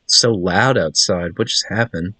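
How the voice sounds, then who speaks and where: A man with a fairly low voice plays through a game, reading its text aloud and commenting casually. He slips in wry asides. The sound is close and dry, with little room in it. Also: normal speed, pushed and bright, no echo. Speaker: gaming channel host.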